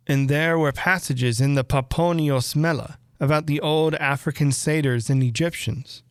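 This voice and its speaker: A man reading a classic horror story aloud as literary narration, with measured, deliberate phrasing and dramatic pauses. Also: mid-range voice, no echo, clean studio sound. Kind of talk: literary audiobook-style narration.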